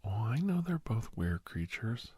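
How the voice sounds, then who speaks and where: A man playing a kindly doctor in an ASMR roleplay, speaking in a hushed, breathy whisper with pauses. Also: lowish voice, sing-song, no room sound, full character voice. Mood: reassuring, confiding. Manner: hushed, unhurried, with pauses and soft chuckles. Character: kindly doctor advising a young werewolf, old family friend.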